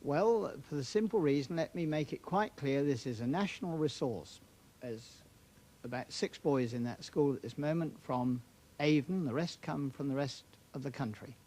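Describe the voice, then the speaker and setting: A man's voice: mid-range voice, moderate pace, darkish tone. A British councillor, a man of mature years, answering a political interview in an emphatic, formal, declamatory way. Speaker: councillor, studio guest.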